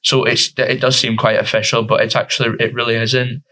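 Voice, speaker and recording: A man's voice: medium pitch, little pitch movement, fairly quick, sharp tone. A teenage boy with a Scottish accent, answering interview questions informally as a youth councillor, with frequent fillers. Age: teens.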